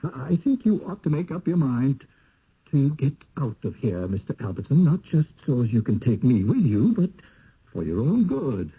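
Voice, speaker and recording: An actor playing a fearful, folksy village local with a rustic Down East accent and a halting, ominous delivery in a radio drama. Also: male voice, medium pitch, sing-song, slightly rough, heavily acted. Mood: uneasy, pleading. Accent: Down East New England. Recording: muffled, phone-like old radio recording.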